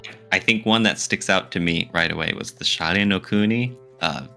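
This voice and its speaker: Male podcast co-host, deepish and forceful, playfully argumentative, casual chat with faint music underneath. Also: moderate pace, vocal fry.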